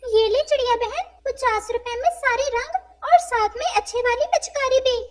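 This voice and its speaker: A woman's voice: very high-pitched, moderate pace, pitched above the performer's own voice. A woman voicing a kindly, generous male parrot shopkeeper in a cartoon, emphatic and neighborly.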